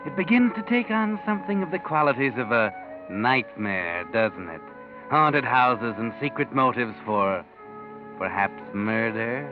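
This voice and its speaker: An actor playing a sly, ominous, all-knowing narrator of a 1940s radio mystery, addressing the heroine insinuatingly. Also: male voice, medium pitch, lively intonation, a little slow, natural voice. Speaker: narrator and host. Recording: tinny 1940s radio recording. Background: music.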